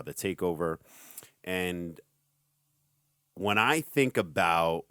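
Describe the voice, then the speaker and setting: A middle-aged man hosting a podcast, talking casually in an energetic motivational way. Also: sits low, no room sound, studio quality. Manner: energetic, hype-style motivational. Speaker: podcast host.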